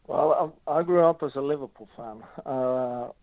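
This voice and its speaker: A middle-aged man from Norway with a Norwegian accent, a former footballer answering interview questions in a halting way with many fillers. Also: mid-range voice, gravelly, no room sound. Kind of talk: casual interview. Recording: tinny phone line.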